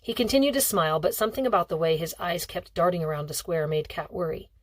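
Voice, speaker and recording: A woman's voice: lowish voice, fast talker, no echo. A woman reading a novel aloud as scripted audiobook narration. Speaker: audiobook reader.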